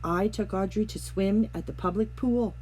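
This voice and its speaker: A woman reading a children's picture book aloud, narrating with gentle, expressive storytelling. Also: mid-range voice, moderate pace, slight background noise. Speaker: storybook reader.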